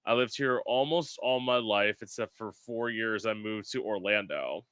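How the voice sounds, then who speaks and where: A man's voice: fairly low pitch, normal speed, fairly bright tone, smooth, no room sound, clean studio sound. A man from South Florida hosting a podcast in casual chat, talking with lots of filler words. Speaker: podcast host.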